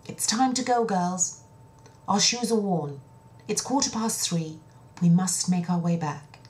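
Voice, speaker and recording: A woman voicing a bossy eldest princess in a children's fairy tale, speaking briskly and commandingly. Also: on the low side, darkish tone, voice pushed up, close to an everyday voice.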